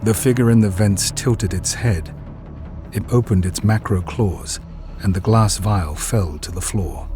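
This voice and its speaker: Slow, low-voiced male narrator over music. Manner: measured, solemn. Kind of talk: scripted dramatic story narration. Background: loud music.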